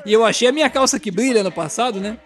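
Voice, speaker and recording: A Brazilian man co-hosting a podcast, chatting casually with a relaxed, teasing humor. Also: high-pitched, racing. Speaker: podcast co-host. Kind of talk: casual chat.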